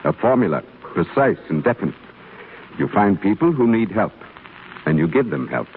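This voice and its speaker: An actor playing a kindly, earnest older stonecutter in a measured, preaching 1930s radio drama style. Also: male voice, deep voice, big pitch swings. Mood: earnest, fatherly. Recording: muffled scratchy 1930s radio broadcast.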